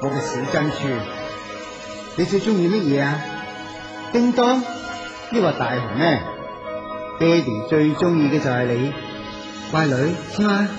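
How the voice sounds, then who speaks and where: A man's voice: fairly high pitch, lively intonation, a little slow, pauses often. A man playing an emotional father in a radio drama. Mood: doting, tender. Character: father in a remembered family scene, harsh then doting. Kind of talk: Cantonese radio drama acting. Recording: muffled, band-limited, music underneath.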